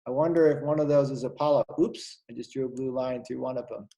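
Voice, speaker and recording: A man teaching kindergarten, reading a storybook aloud to young children and asking them questions in an animated, encouraging way. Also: medium pitch, fast, highs cut off.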